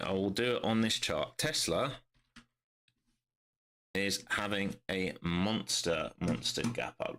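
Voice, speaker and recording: A man with a low voice talks through the charts in a brisk stream of live commentary, thinking aloud as he goes. His tone is bright and forceful, but a head cold leaves him nasal and bunged up. He speaks close to a desk microphone. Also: gravelly. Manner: brisk, thinking aloud, frequent "all right?" tags. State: congested with a cold. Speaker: day trader streaming live market analysis.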